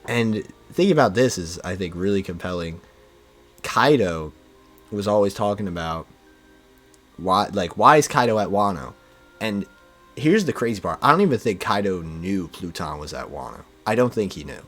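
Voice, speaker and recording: A man's voice: sits low, lively intonation, moderate pace, fairly bright tone, soft background music. A man in a casual, enthusiastic fan discussion, peppering his talk with "like" and "you know". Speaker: podcast speaker discussing manga.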